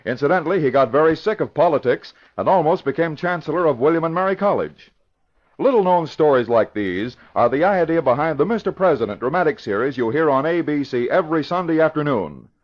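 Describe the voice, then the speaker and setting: A man's voice: fairly high pitch, lively intonation, brisk. An American man reading a scripted old-time radio promotion in a conversational, informative way. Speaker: promo announcer. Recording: dull old radio recording.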